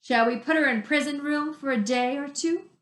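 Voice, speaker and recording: A woman's voice: high-pitched, moderate pace, echoey room, voice pushed up, lightly acted. A woman voicing a huge, stern male guard in a storybook, barking and scolding.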